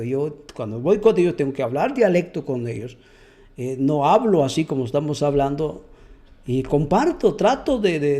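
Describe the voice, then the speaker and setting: A man's voice: a little high, warm tone. A man giving an earnest testimony and Bible teaching as a preacher, often repeating words as he searches for them.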